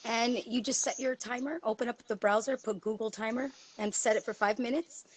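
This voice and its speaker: A woman's voice: a little high, steady tone, a little fast, slightly rough, rough recording. A woman, a guest chatting casually over her phone, talkative and self-deprecating with many fillers. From Miami, USA. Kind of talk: casual chat.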